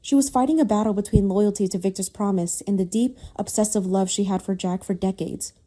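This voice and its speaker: Woman narrating drama in a very soft-toned voice. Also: mid-range voice, steady tone, brisk. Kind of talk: scripted dramatic story narration.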